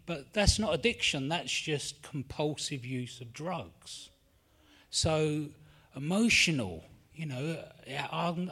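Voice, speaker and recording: A man's voice: a little high, fairly bright tone, slightly rough. A middle-aged man from London with a London accent, sharing his story at a recovery meeting in a rambling, candid way, swearing freely and admitting he is nervous. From England. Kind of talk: personal share at a support meeting. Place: meeting room.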